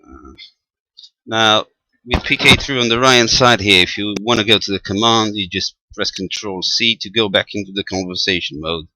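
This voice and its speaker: A man giving an informal technical demonstration as a presenter, halting and thinking aloud as he goes. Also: on the low side, bright, forceful tone, no room sound, poor audio. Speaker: amateur radio tutorial presenter. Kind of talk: informal spoken software demonstration.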